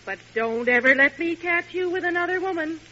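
An actress playing a sharp, wryly possessive housewife with a teasing, sardonic manner in an old-time radio sitcom. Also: female voice, very high voice, expressive, brisk. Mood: mock jealous, teasing. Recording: muffled, hissy vintage radio broadcast.

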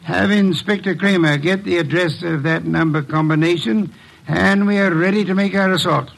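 An actor playing a shrewd, imperious gentleman detective, formal and deliberate, in an old-time radio drama. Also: sits high, fairly even intonation, vocal fry, heavily acted, low-quality recording. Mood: deliberate, brisk.

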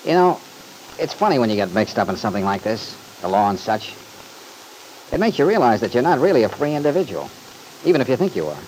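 An actor playing an easygoing, thoughtful young man in an old-time radio soap opera, folksy, earnest and gently teasing. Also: medium pitch, big pitch swings, fast, close to an everyday voice, low-quality recording. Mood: reflective. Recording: hissy vintage radio recording.

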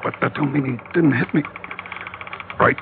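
An actor playing a rough, loyal, grumbling first mate with a slangy, sardonic manner in an old-time radio drama. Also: male voice, medium pitch, normal speed. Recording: noisy, muffled old radio recording.

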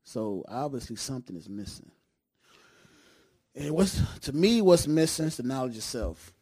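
A man's voice: medium pitch, sing-song, moderate pace, dark tone, slightly rough. A man from Detroit, a podcast guest, talking with passion in street slang, making points like a sermon. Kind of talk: casual studio conversation.

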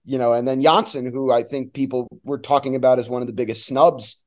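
A man's voice: medium pitch, steady tone, brisk. A man talking as a sports announcer guest, giving emphatic, opinionated analysis in a conversational way.

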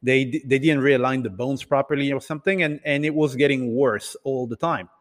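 A man who grew up in Italy and other countries, telling his story casually as an interview guest in a halting, hesitant way. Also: medium pitch, steady tone. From Italy and several other countries. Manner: hesitant, halting delivery with repeated words. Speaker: podcast guest, entrepreneur. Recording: reverberant, hall-like room sound.

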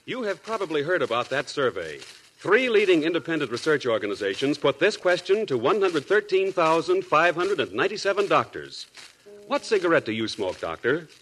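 A man reads a vintage radio commercial as its announcer. His voice sits a little high and moves in lively swings, persuasive and emphatic. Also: a little fast, a little hiss or hum, rough recording. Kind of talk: 1940s radio advertisement narration.

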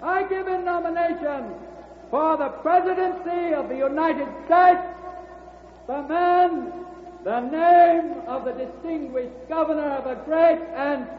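A man's voice: very high voice, unhurried. An actor in an old-time radio drama playing a grandiose male convention orator, declaiming in long, ringing phrases. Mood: rousing. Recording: tinny echoing radio recording, noisy crowd.

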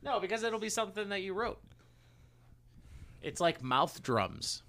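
A man's voice: sits high, sing-song, measured pace, vocal fry, fairly bright tone, no echo. A man co-hosting a podcast in casual, easygoing chat with a joking manner. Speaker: podcast co-host and guitarist.

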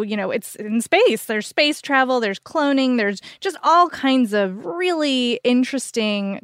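A woman's voice: on the high side, a bit bright, no echo, studio quality. A woman co-hosting a book podcast, giving recommendations in a casual, enthusiastic and emphatic way.

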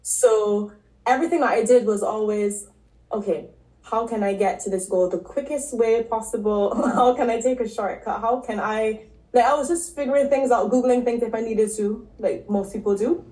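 A woman from Barbados, a podcast guest and health coach, telling her story in a relaxed, casual way with frequent ums and likes. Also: on the high side, moderate pace. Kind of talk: casual interview talk. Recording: echoey room.

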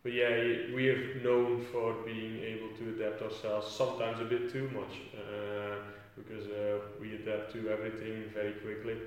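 A man's voice: on the low side, monotone, moderate pace, hardly any pauses, slight background noise. A young man with a Flemish accent, a footballer answering interview questions thoughtfully, with many fillers and restarts. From Belgium. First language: Flemish Dutch. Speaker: footballer guest. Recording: echoey room from a distance.

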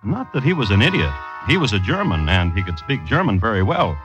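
A man hosting an old-time radio crime series, narrating from a script with deliberate, wry understatement. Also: lowish voice, very animated. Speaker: host and narrator. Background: music.

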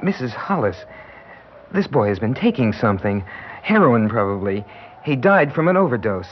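An actor playing an earnest, patient doctor in a radio drama, gently insistent and deliberate as he speaks. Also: mid-range voice, sing-song, faint music behind, close to an everyday voice. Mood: grave insistence. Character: earnest, patient male doctor breaking grim news. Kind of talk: old-time radio drama acting. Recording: dull, hissy classic radio recording.

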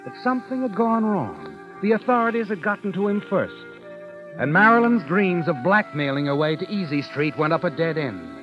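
An actor playing a sly, knowing narrator who needles in an insinuating way, in an old-time radio mystery. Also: male voice, high-pitched, sing-song, moderate pace. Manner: insinuating second-person address. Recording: muffled vintage radio broadcast. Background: music.

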